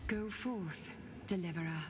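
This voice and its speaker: A woman playing a proud, composed noblewoman leader in a video game, speaking in a measured, solemn, formal and unyielding way. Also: on the low side, background noise, lightly acted. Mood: solemn defiance. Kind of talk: dramatic video game voice acting. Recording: long hall reverb under loud music.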